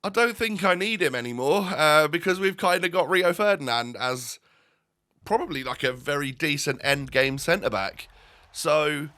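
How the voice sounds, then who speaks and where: Bright-voiced congested man rambling. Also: fairly high pitch, expressive, moderate pace. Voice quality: nasal, congested. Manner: rambling, many fillers and restarts. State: stuffy, bunged up. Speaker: gaming YouTuber. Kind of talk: casual gameplay commentary.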